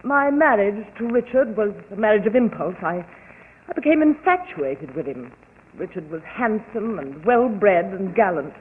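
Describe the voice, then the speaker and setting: An actress playing an anxious wife confessing to a doctor, speaking in hesitant, halting bursts in 1940s radio style. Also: female voice, mid-range voice, animated, natural voice. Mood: troubled. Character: anxious young wife fearing her own urges. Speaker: patient. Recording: tinny old radio broadcast with hiss.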